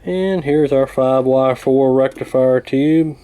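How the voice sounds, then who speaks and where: A man giving a casual hands-on repair walkthrough in a folksy, hesitant, unhurried way. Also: mid-range voice, mellow, clear, resonant tone. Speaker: radio repair hobbyist demonstrating.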